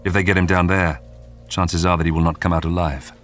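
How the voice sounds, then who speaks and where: A man reading scripted true-crime narration in a measured, dramatic way with suspenseful pauses. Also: sits low, flat delivery. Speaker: documentary narrator. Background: background music.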